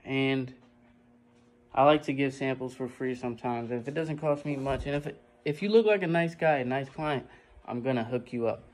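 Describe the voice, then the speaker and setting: A man's voice: medium pitch, darkish tone. Young man vlogging from a print shop. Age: 20s. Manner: hurried, chatty, talking while working. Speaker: screen printing business owner. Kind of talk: casual vlog to camera. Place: home basement print shop. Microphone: phone at arm's length.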